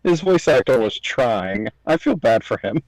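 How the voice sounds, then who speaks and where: A man's voice: medium pitch, big pitch swings, moderate pace, gravelly, a little mellow, poor audio. A man in casual chat, tossing in blunt, joking remarks on a close microphone.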